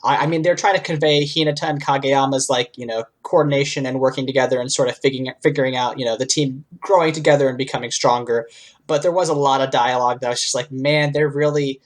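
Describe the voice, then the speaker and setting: A man speaks as a podcast co-host in a mid-pitched voice. He sounds informal and conversational, talking discursively and wandering into asides as he makes his points. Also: steady tone, quick pace. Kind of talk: casual discussion and commentary.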